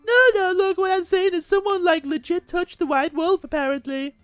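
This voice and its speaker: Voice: very high-pitched, moderate pace, no echo, soft background music, pitched above the performer's own voice. A game player putting on a whiny, gossipy teenage schoolgirl voice for a visual novel. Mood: whiny, indignant. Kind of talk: mocking amateur character reading.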